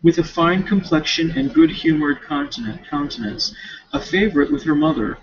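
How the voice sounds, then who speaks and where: Guy student reading a book out loud, swingy intonation, raspy, trips on words, muffled lofi recording. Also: fairly high pitch, moderate pace. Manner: expressive, stumbling over words. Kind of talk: reading a novel aloud with casual asides.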